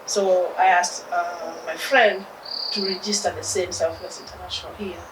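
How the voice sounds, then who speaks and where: A Kenyan woman in her fifties speaking in a casual interview, animated and laughing often. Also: fairly low pitch, moderate pace. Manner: animated, mixes in Swahili phrases, laughs often. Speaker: interview guest. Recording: distant mic, echoey room, loud insect noise.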